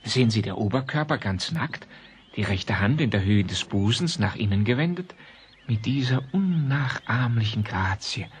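An actor playing a proud, effusive provincial antiquarian in middle age, florid and courteous, in a German radio play. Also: male voice, fairly low pitch, expressive, normal speed, a little hiss or hum, lightly acted. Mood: rapt, admiring.

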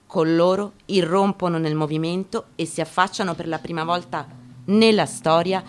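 A woman giving a dramatic literary reading, solemn and declamatory, with deliberate pauses, over guitar. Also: fairly low pitch, steady tone. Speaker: actress giving a staged reading. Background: guitar music.

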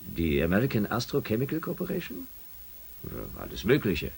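An educated spaceship commander with a deep voice that swings widely in pitch. He explains things with thin patience in the manner of a science fiction radio drama. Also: huge pitch swings, normal speed, gravelly, slightly rough, exaggerated voice. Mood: brooding. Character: male spaceship commander, educated, easily irritated.

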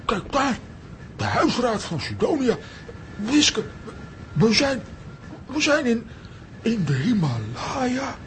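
A man's voice: very high-pitched, sing-song, takes it slowly, long pauses, fairly bright tone, slight background noise, heavily acted. A man playing a blustering, boastful and slightly dim cartoon fellow in a children's audio drama. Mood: bewildered.

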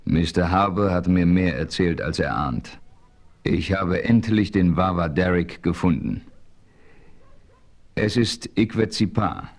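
Deep-voiced radio play narrator, man. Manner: calm, measured storytelling. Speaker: narrator and hero.

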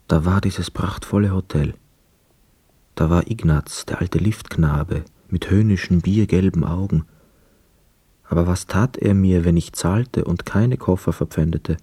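An actor playing a brooding, hesitant ex-prisoner of war, telling his story in a measured, introspective way in a radio drama. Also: low voice, dark tone, slightly rough, dry recording. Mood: pondering. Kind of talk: literary radio drama narration and dialogue. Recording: close dry studio, music behind. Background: music.

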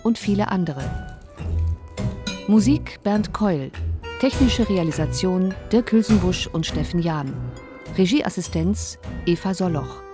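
A female announcer with a fairly low voice reads scripted credits for a radio play. Music plays clearly behind her. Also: lively intonation, slow pace.

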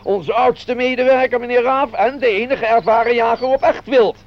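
An actor playing a jolly, ceremonious master of ceremonies announcing a company outing in a Dutch radio play. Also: male voice, very high-pitched, moderate pace. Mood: jovial, announcing. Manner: chirpy, ceremonious announcing with wordplay. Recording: dull lo-fi radio recording, loud noise.

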